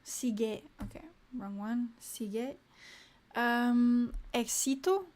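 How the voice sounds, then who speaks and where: Young woman, higher-pitched, unhurried; reads study words aloud, mutters asides, chats brightly with viewers on a live stream. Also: fairly even intonation. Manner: reads vocabulary aloud in short bursts, muttering asides to herself; cheerful and chatty with viewers. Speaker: language learner streaming live.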